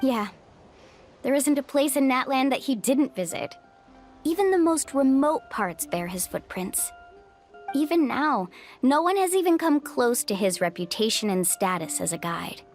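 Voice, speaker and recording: An outgoing young female guide in a video game. Her voice is high and lively, with an upbeat, encouraging manner, over soft music. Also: measured pace, full character voice. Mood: reflective, admiring.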